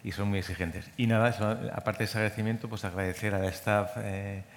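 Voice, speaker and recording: Low-voiced man speaking in a reverberant hall. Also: steady tone, fairly quick, a little hiss or hum. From Madrid. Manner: rambling, with many restarts and repeated words. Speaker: scientific coordinator of a medical training program. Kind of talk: conference speech. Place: conference hall.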